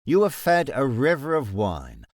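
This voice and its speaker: Cold all-knowing ruler for fantasy audiobook , male actor: cutting, deliberate, formal, widely varied intonation, close dry sound. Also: mid-range voice, wildly swooping pitch, dry recording. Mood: coldly accusing. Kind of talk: full-cast fantasy audiobook acting.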